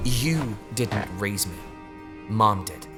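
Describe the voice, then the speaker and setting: A man playing a frustrated, earnest grown son pleading with his father in an animated comic dub, in an exasperated manner. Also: on the low side, slow pace. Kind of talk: animated comic fandub acting. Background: music.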